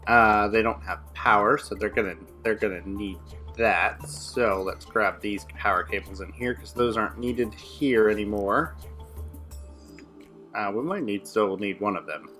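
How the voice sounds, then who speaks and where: A young man with a low, bright and forceful voice talks rapidly through his build, narrating each step as he plays. Faint music sits quietly under his casual commentary. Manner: rapid thinking aloud while building. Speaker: co-host playing a modded game.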